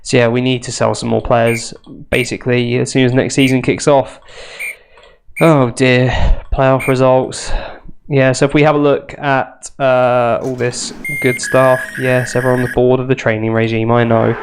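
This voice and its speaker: Bunged-up nasal gamer. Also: male voice, medium pitch, level tone, unhurried, clean tone. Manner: chatty, self-mocking, wry asides. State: congested from a cold. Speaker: gaming youtuber. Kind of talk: casual let's play commentary.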